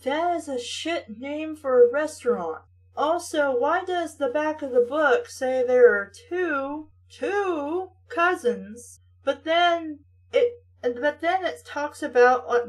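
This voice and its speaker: A woman reading a story aloud and riffing on it casually, with a blunt, sarcastic, sweary manner. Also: high voice. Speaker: host reading a book aloud with critical commentary.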